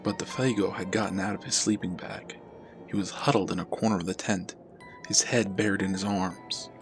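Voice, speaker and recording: Male storyteller for horror narration: lowish pitch, measured and dramatic delivery, music underneath. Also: steady tone. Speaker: storyteller, channel host.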